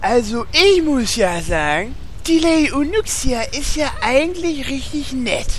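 A woman playing a soft-hearted, hesitant gamer warrior in a parody fantasy radio play. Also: sits high, animated, a little slow, a little hiss or hum. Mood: reluctant.

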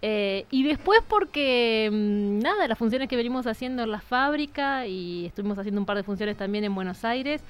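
A woman's voice: on the high side, expressive, moderate pace, few pauses, clean tone. An Argentine woman, a theatre director, explaining herself reflectively as a guest in a casual radio interview.

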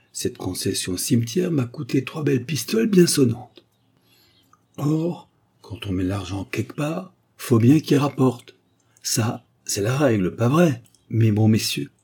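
Stubborn, husky old peasant played by a man. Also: medium pitch, dark tone. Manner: rustic, colloquial, obstinate. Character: stubborn, wily old male peasant widower, defensive and cheeky. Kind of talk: literary audiobook dialogue.

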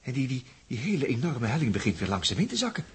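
An actor playing an excitable, anxious male astronaut in an urgent, exclamatory 1960s Dutch radio drama style. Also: medium pitch, fast talker, husky, heavily acted. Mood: subdued wonder. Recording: noisy early-1960s radio drama recording.

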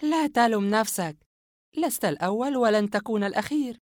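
A narrator voicing a mocking young woman in a horror audiobook, scornful and cold as she confesses a dark secret. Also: medium pitch, lively intonation, brisk, no echo, clean studio sound, pitched above the performer's own voice, lightly acted.

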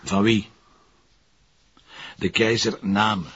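An actor playing a wry, insistent police detective with a Flemish accent in a radio drama. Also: male voice, on the low side, fairly even intonation, slow, fairly bright tone, slight background noise. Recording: dull radio drama recording.

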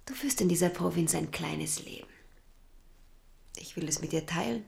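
Prophetic noble mother, solemn, lowish and gravelly. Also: normal speed, darkish tone, slight background noise. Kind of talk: 1970s radio drama acting.

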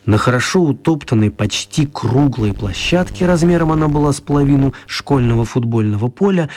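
A man narrating a children's story for radio in an expressive storytelling style. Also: mid-range voice.

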